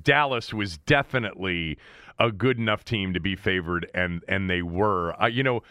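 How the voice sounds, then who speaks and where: A man's voice: deep voice, moderate pace, sharp tone, clean tone. A man hosting a sports show, talking in a casual radio style.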